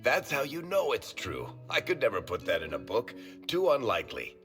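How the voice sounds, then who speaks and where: A man's voice: medium pitch, wildly swooping pitch, normal speed, over music. A man playing a wry, world-weary dwarf storyteller who talks in a colloquial, teasing way in a video game. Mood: wry, amused. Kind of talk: video game cutscene acting.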